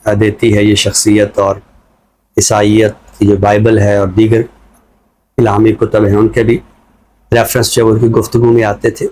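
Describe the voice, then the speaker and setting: A man with a Pakistani accent giving reflective, conversational commentary as a scholar, explaining things in a measured way. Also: on the low side, monotone, a little mellow, poor audio. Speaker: scholar commentator on a talk show.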